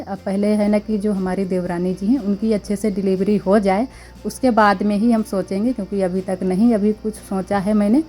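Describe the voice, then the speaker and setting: A young woman with a sore, slightly hoarse throat, chatting casually as she narrates her daily vlog about household chores at her village home. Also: medium pitch, warm tone. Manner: chatty, homely, thanks viewers. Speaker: vlogger. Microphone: clip-on mic.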